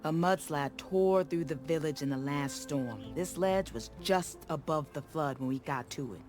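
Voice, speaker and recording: An earnest male villager, voiced by an actor, talking briskly after being rescued. His voice sits a little high. Faint game music plays behind. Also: a little mellow, lightly acted. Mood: relieved. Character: stranded male villager, relieved.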